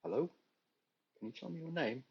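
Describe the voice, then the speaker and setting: A matter-of-fact hospital doctor, voiced by a gravelly narrator, speaks in a higher, louder register than the narration. His questions are clipped and clinical, on a slightly lo-fi recording. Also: lively intonation, brisk, exaggerated voice. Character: brisk male hospital doctor.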